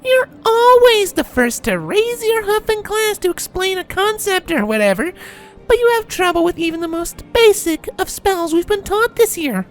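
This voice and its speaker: A man voicing a bratty young unicorn filly bully with a whiny falsetto and a sneering manner. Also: sing-song, normal speed, soft background music. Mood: taunting, whiny. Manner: sneering, irritating whine.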